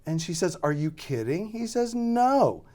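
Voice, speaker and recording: An American man being interviewed as a radio drama producer, talking casually and haltingly as he thinks aloud. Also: sits high, very animated, dark tone.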